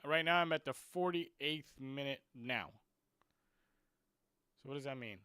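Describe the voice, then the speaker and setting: Excitable football streamer with a dry close sound. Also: male voice, mid-range voice, a little slow, clear, resonant tone, no room sound. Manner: frustrated, excitable rants about players; muttering while fixing stream clock. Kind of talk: live stream match commentary.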